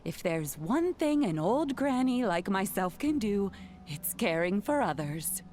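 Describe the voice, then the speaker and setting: An actress playing a kindly old granny in a game, speaking in a gentle, measured, motherly way. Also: medium pitch, very animated, soft background music. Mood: reassuring. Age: older.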